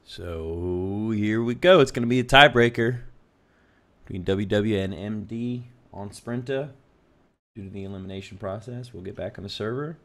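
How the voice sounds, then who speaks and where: A man co-casting a live game match, relaxed and teasing as he sets up the next map. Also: lowish voice, moderate pace. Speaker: esports co-caster. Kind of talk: casual live game commentary.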